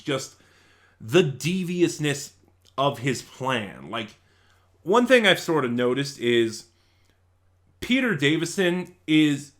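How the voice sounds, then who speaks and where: A man hosting a casual video review, talking to viewers in halting, conversational short bursts. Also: medium pitch, a bit bright. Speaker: video reviewer and channel host.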